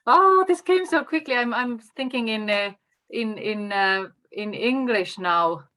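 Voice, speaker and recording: A woman from Finland with a Finnish accent, a native Finnish speaker, talking as a guest teacher on a video call in a measured, encouraging way. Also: a little high, expressive, sharp tone. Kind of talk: casual video call talk.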